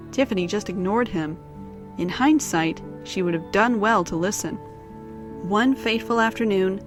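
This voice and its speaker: Woman, storyteller, scripted narration, medium pitch, light background music.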